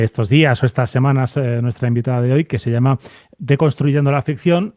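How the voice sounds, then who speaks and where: A man with a dark, mellow voice hosts a conversational interview, recorded close and dry. His pitch sits in the middle for a man, and he feels his way through halting phrases, often ending on a short checking question. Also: no echo. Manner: hesitant, halting phrasing with repeated tag questions. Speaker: podcast host and interviewer.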